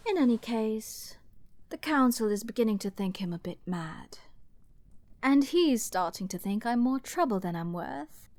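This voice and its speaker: Woman acting a slow-talking arrogant scheming princess. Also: fairly high pitch, animated, darkish tone. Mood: haughty, sly. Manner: haughty, theatrical, slow and teasing. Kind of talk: dramatic fantasy audio roleplay with ASMR whispering.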